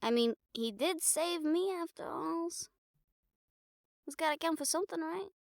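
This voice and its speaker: A woman's voice: very high-pitched, lively intonation, unhurried, creaky, no room sound, clean studio sound, full character voice. A woman playing a wry, affectionate, bossy girlfriend in an improvised, intimate audio roleplay, talking casually with slangy teasing and swearing. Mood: exasperated pleading. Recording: music behind the voice.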